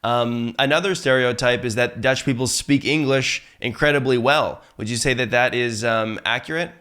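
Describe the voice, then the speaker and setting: A man hosting a casual interview, asking calm, measured questions. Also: mid-range voice, clean tone. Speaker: interviewer host.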